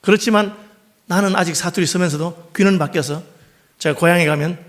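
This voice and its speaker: A middle-aged male pastor preaching a sermon in a church, speaking with a noticeable regional dialect and telling stories lively, acting out the people he quotes. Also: high voice, fairly quick. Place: church sanctuary.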